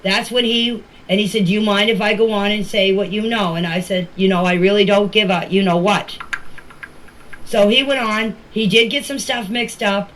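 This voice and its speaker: A woman speaks in a plain, conversational way at a medium pitch, as in a casual voice message. The recording is homemade, with faint noise behind her. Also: steady tone, moderate pace, fairly bright tone. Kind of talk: casual spoken voice messages to a group chat. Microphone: voice clips recorded for a group chat. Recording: noisy amateur voice-message recording.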